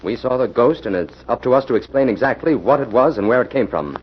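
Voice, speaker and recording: An actor playing a brisk, level-headed and commanding investigator in a 1940s radio mystery. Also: male voice, mid-range voice, lively intonation, lightly acted. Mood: decisive. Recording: dull, noisy old radio recording.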